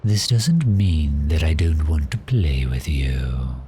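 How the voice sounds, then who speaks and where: A man performs a predatory vampire with an exceptionally low, breathy voice that often sinks into a half-whisper. He speaks extremely slowly, lingering on pauses, toying with his prey with gentle menace. It is an intimate ASMR roleplay, with a little noise behind the voice. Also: animated, heavily acted. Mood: teasing, amused.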